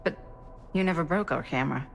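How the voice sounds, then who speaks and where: A level-headed woman in a horror game. Her voice is slightly low and naturalistic, with light music underneath. She is sceptical and direct, pressing for answers. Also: fast talker, creaky. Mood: doubtful, probing. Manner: direct, questioning. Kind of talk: naturalistic video game cutscene acting.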